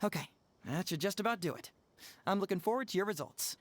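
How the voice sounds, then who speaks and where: Composed, articulate young detective. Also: male voice, high voice, lively intonation, a little hiss or hum. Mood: composed instruction. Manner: measured, articulate, explanatory. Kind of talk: anime-style video game voice acting. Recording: game music behind the voice.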